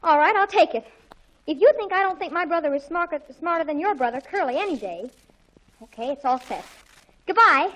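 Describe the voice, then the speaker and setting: A woman acting an excitable teenage daughter with an American accent, gushing and melodramatic, in a 1940s radio sitcom. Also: extremely high pitch, fairly quick, a little hiss or hum, low-quality recording. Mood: haughty, boastful. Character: excitable, dramatic teenage daughter in a family comedy.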